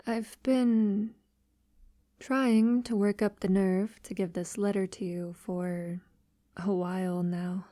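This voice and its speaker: Slow, hushed vampire lover, acted by a woman. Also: medium pitch, steady tone, dry recording, clean studio sound, lightly acted. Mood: hesitant, uneasy. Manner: soft-spoken, breathy half-whisper, hesitant pauses. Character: centuries-old female vampire lover, wealthy, tender, vulnerable. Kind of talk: intimate ASMR audio roleplay.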